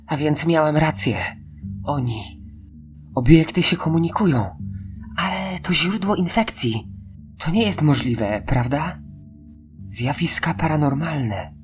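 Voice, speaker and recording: Horror audio play: man reading as a shaken, determined woman scientist, raised pitch, music underneath. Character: rattled, determined female scientist talking to herself.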